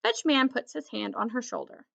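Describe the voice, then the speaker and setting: Woman reading a kids book out loud, highish creaky voice, expressive, slightly muffled lo-fi audio. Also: moderate pace. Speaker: children's book reader. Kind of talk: expressive children's story read-aloud.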